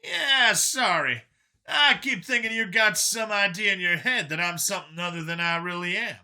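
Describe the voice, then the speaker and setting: Man voicing an eager, high-pitched defensive guy. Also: normal speed, exaggerated voice. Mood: defensive, earnest. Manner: excitable, emphatic. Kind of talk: audiobook character dialogue.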